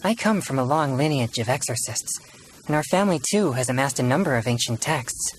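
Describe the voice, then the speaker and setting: Young male exorcist in a game, played by a woman, low voice, earnest, mild, formal, faint ambient noise. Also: lively intonation, fairly quick, poor audio. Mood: mild, polite. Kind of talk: video game voice acting.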